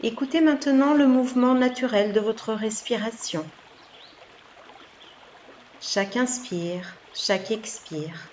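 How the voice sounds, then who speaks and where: A woman leads a guided meditation as a yoga nidra teacher. She gives gentle, soothing instructions at an unhurried pace, leaving long pauses. The recording is slightly dull and lo-fi, with running water and noise behind her. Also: fairly high pitch.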